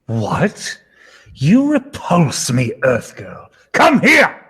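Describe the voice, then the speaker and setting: Teen boy as a slow, pompous villain king. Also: on the high side, wildly swooping pitch, fairly bright tone, husky, exaggerated voice. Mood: offended, demanding. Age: teenager. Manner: pompous, theatrical. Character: lecherous, boastful, menacing hawkman king villain. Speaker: student actor. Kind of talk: old-time radio serial acting. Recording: music behind the voice.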